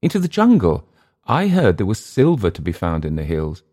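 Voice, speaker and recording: A man's voice: low-pitched, wildly swooping pitch, moderate pace, darkish tone, exaggerated voice. A man voicing a naive, eager young English adventurer for an audiobook, with a hesitant, apologetic, stammering manner and an English accent. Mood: eager, determined. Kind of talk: audiobook character dialogue.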